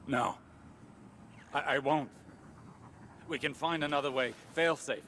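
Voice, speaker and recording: An actor playing a protective, anguished scholar-warrior in a video game, with intense, clipped, pleading delivery. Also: male voice, medium pitch, unhurried, bright, forceful tone, a little hiss or hum. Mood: anguished refusal. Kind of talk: video game cutscene acting.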